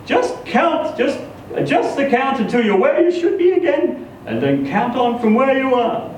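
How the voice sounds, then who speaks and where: A man's voice: very high voice, sing-song, normal speed, pitched above the performer's own voice. A man reading a story and voicing a mother who gives her child instructive advice. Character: narrator's mother, calmly instructive advice-giver. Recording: echoey room, distant mic, noisy.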